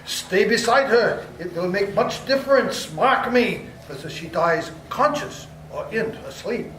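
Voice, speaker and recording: A man playing an anxious elderly professor and doctor in a live radio play, speaking in halting, formal phrases. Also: high voice, animated, fairly bright tone, slight background noise. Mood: urgent. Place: library hall with live audience. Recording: roomy hall sound from far off.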